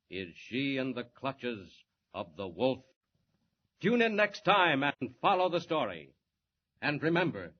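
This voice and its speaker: A man's voice: on the high side, a little hiss or hum. A man narrating and announcing a 1940s radio serial in a declamatory, suspenseful style, on a lo-fi 1940s radio transcription.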